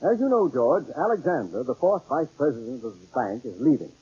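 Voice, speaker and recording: An actor playing an older, blustery bank boss with a raspy voice in an old radio sitcom. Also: male voice, medium pitch, sing-song, brisk, mellow. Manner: blustery, repeats himself. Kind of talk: 1950s radio sitcom acting. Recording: dull vintage radio recording.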